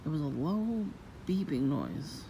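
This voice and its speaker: A woman with a low voice, vlogging in a soft-spoken, rambling and suspicious way outdoors near her home. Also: big pitch swings, measured pace. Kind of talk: casual rambling monologue. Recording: noisy outdoor ambience.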